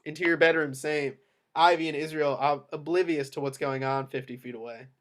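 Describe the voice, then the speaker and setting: A man's voice: a little high, steady tone, fairly quick. A man reading screenplay action lines as the narrator at a table read. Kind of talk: screenplay stage-direction narration.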